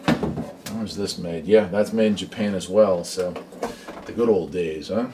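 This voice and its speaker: A man's voice: low-pitched, unhurried, a little mellow, a little hiss or hum. A middle-aged American man hosting a casual vlog as a hobbyist, talking in a folksy, rambling, conversational way. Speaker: host, radio hobbyist. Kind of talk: casual product showcase vlog.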